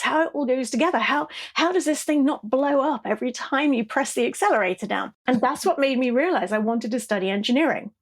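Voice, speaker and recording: Woman, English accent, middle-aged, fairly high pitch, animated storyteller, podcast guest. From southeast England. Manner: animated, laughs often, tags sentences with "yeah?". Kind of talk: conversational storytelling interview.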